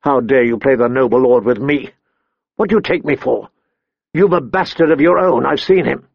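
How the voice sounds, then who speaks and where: A proud, cold queen who taunts and schemes, as a male audiobook reader puts her on. The voice sits a touch high for a man, and the sound is dry and a bit dull, with no room around it. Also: animated, a little slow, dry recording. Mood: scornful. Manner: scornful, taunting. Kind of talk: audiobook character voice.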